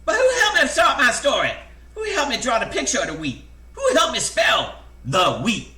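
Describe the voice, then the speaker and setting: Man doing an indignant, shrill nagging hen. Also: huge pitch swings, normal speed, sharp tone, distant mic, heavily acted. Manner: nagging, repeated rhetorical questions. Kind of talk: comic storybook read aloud. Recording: echoey room, faint background noise.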